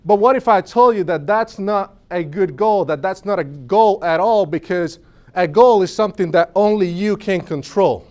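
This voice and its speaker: Young Puerto Rican man, a speaker at a business event with a slightly higher pitch, earnest and storytelling. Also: moderate pace, darkish tone. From Puerto Rico. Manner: earnest storytelling, asks the audience questions. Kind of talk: motivational talk to a live audience.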